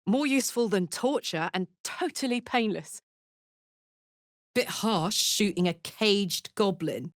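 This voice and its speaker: A woman's voice: mid-range voice, slow pace, no echo, studio quality, lightly acted. A woman voicing a brash, big-hearted, hot-tempered warrior in a fantasy video game, speaking bluntly, informally and with open emotion. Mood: wry, casual.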